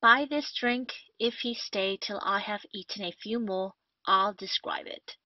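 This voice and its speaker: A woman's voice: mid-range voice, a little slow, no echo, lightly acted. A woman playing a witty, cynical rake of a captain in a period comedy audio play, declaiming with mockery. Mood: cynical, scornful. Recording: dull, dry lo-fi audio.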